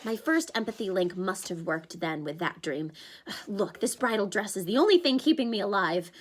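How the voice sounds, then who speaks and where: A woman's voice: mid-range voice, lively intonation, moderate pace, lightly acted. A woman voicing a jittery young male satyr who pleads and stammers in a panic. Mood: pleading.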